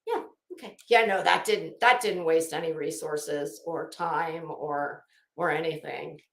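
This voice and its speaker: A woman's voice: deep voice, a little slow, gravelly, reverberant. A woman delivering an unscripted, opinionated commentary rant as an online host, sarcastic and taunting, with theatrical emphasis.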